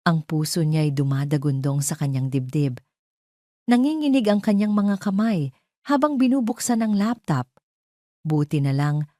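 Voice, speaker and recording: A Filipino woman reads a dramatic audio story in a low, mellow voice. She tells it in a measured, theatrical way, and the recording is close and dry. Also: no room sound. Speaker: narrator.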